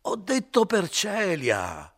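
A man voicing a shrewd middle-aged innkeeper who coaxes and grows gruff. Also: deep voice, sing-song, normal speed. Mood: impatient. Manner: wheedling, then gruff.

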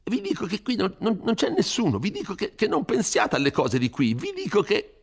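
A man putting on a frightened old village priest with a high, strained voice that jumps far above the reader's own and stumbles over repeated words. He scolds and pleads in a husky, lively rush, the speech of a storybook coward. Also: a little fast, full character voice. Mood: flustered. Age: older. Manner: stammering, flustered, pleading. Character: fretful elderly male village priest. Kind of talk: audiobook character voice.

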